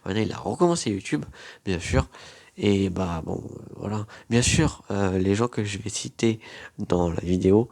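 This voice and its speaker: Young youtuber guy with a cold, low croaky voice, rambly and repeats himself a lot. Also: expressive, normal speed, darkish tone. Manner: rambling, lots of fillers and repetitions. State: has a cold. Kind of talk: casual to-camera vlog.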